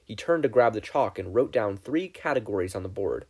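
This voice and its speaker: A man reading a story aloud as a narrator, in an expressive scripted style. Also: on the low side, fairly quick. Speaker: fan fiction podfic reader.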